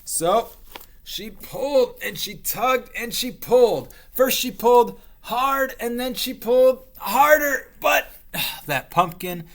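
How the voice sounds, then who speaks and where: Slow, animated man reading to children. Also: very high voice, creaky. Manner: expressive, stretches words for young listeners. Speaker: teacher reading aloud to young children. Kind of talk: storybook read-aloud with explanations for children.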